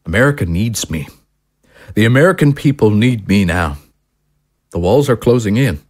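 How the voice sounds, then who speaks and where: A man's voice: lowish voice, expressive, normal speed, darkish tone. A man playing a self-righteous, paranoid talk-show host husband in an audio drama, ranting and condescending. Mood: grandiose, paranoid. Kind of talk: dramatic audio screenplay acting.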